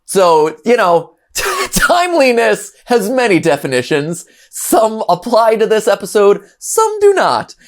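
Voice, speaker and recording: A man's voice: high voice, wildly swooping pitch, measured pace, few pauses. A man from Ohio in his thirties, hosting a casual reaction show and rambling animatedly, with frequent restarts and chuckles. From Ohio, USA. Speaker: host.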